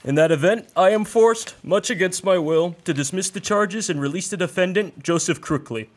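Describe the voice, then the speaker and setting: A man's voice: high voice, normal speed, natural voice. A young man playing a stern, formal male judge who gives exasperated rulings in a comic radio play. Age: young adult.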